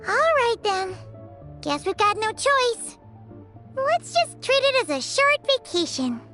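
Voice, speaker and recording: A woman voicing a small, chatty, childlike fairy companion with a squeaky voice in a video game. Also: sing-song, slow, full character voice. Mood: resigned. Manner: speaks of herself in third person. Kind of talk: video game character acting. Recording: loud background music.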